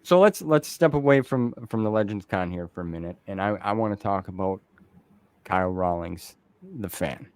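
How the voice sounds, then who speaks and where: A man's voice: low voice, normal speed, clean tone, no echo. A man hosting an interview in casual, informal, slangy conversation. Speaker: interview host.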